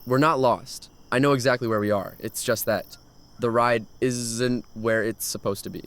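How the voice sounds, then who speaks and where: A man's voice: mid-range voice, steady tone, normal speed, fairly bright tone, close to an everyday voice. A man playing an adventurous, thrill-seeking young man who tells his story in a horror audio drama. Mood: uneasy, insistent.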